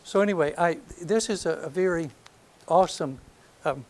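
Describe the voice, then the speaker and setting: An older man from North Alabama with a soft voice, giving a reflective lecture to an audience, hesitant and full of ums, with gentle self-deprecating humor. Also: fairly high pitch, moderate pace. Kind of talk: last lecture, reminiscence. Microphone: handheld or podium microphone.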